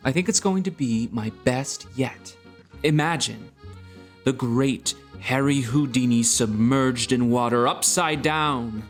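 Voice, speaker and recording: An actor playing an anguished, driven escape artist in a stage musical, in speech and song. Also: male voice, mid-range voice, slow pace, lightly acted. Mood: driven, excited. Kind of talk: musical theatre singing and dramatic acting. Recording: music under the voice.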